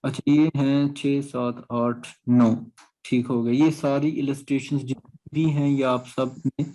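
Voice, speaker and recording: Male law lecturer, Pakistani accent, mid-pitched mellow voice, didactic and repetitive, explaining clauses step by step. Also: level tone, measured pace, poor audio. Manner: didactic, repeats points and checks understanding. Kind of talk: exam-prep lecture, reading out clauses and explaining them.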